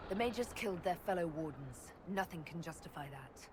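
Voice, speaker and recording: A woman's voice: lowish voice, animated, moderate pace, background noise, natural voice. A woman voicing a firm female leader. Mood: resolute. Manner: firm, decisive. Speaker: Inquisitor.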